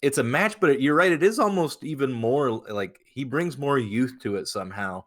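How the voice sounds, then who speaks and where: A man's voice: mid-range voice, lively intonation, moderate pace, a bit bright. A man in casual comic banter, playful and given to exaggerated mock quotes while chatting. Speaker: podcast co-host.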